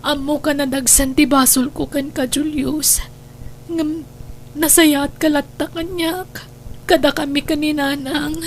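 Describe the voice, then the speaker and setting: A high, plaintive female voice acted by a woman plays a remorseful young wife. She implores in melodramatic style, over faint background noise. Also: level tone, unhurried. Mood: tender apology. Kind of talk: melodramatic audio drama acting.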